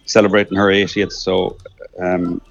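A man's voice: low-pitched, level tone, normal speed, vocal fry. Irish man on a muffled phone line. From Ireland. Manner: hesitant, many restarts and fillers. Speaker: caller. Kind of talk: casual phone chat.

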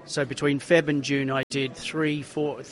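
A man, a racing driver telling stories in a casual interview, animated and full of restarts. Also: mid-range voice, normal speed. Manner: animated, repeats himself, many restarts.

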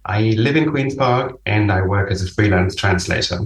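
A man from Chile raised in Germany, a local election candidate giving an earnest prepared statement with a few restarts. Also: lowish voice. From born in Chile, raised in Germany. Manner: earnest, slightly halting with restarts. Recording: roomy, distant-mic recording.

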